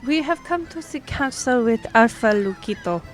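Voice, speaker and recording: A woman's voice: fairly high pitch, animated, normal speed, faint music behind, close to an everyday voice. A woman playing a courteous half-elf royal liaison in a fantasy audio drama, speaking formally and explaining things with some hesitation. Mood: polite, formal.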